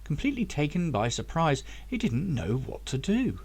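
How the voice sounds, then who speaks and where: Higher-pitched man reading an audiobook, very animated. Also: normal speed, slightly rough. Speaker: author reading own novel. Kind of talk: scripted audiobook narration.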